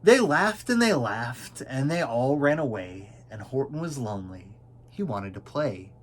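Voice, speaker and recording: A man narrates a children's storybook in rhyming verse. His medium-pitched voice swoops theatrically, and he takes his time, dwelling on key words. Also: very animated, a little slow, slight background noise. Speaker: storybook reader.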